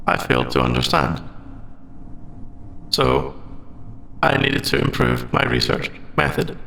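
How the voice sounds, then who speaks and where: A man voicing a formal, logical android AI in an ASMR audio roleplay, with precise, stilted phrasing and gravelly endings to his phrases. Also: medium pitch, sing-song, measured pace, sharp tone, slight background noise, exaggerated voice. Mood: earnest, curious. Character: formal, logical male android AI, secretly sentient and earnest.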